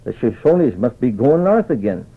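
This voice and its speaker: Man playing old western trail hand, serious, folksy, creaky, old radio drama, tinny sound. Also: medium pitch, very animated. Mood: grave. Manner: folksy, dead serious, slow drawn-out words. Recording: tinny band-limited old radio recording.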